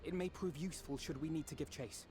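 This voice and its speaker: A man playing a composed, dutiful young scholar-hero in a video game, with formal, measured, articulate speech. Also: a little high, natural voice. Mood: practical. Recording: loud music underneath. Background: orchestral game music.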